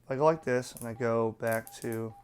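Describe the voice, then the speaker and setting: A man's voice: medium pitch, moderate pace, darkish tone, slight background noise. A man presenting a casual, unscripted hands-on product review, talking bluntly and colloquially as he explains things step by step.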